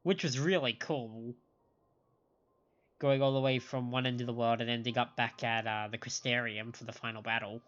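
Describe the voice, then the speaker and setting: A man's voice: medium pitch, steady tone, moderate pace, a bit bright. Man streaming games, slightly dull audio. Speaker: game streamer. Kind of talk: casual live playthrough commentary, reading dialogue aloud. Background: game music.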